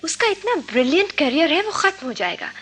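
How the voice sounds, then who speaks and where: A worried, practical young woman friend in a radio play. Her high voice moves up and down expressively as she questions and cautions. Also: very animated, a little fast, fairly bright tone, a little hiss or hum, exaggerated voice. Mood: concerned, cautioning. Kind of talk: Hindi radio drama acting.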